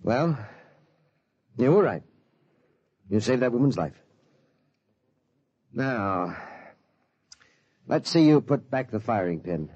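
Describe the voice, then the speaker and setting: A husky, stammering lawyer father in an old radio play, his voice leaping up and down with dismay. The sound is dull and lo-fi, dry and close. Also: medium pitch, very animated, slow, long pauses, darkish tone, no room sound, full character voice. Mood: stern. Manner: flustered, stammering. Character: worried middle-aged lawyer father. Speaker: father of the boy. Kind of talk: 1940s radio acting.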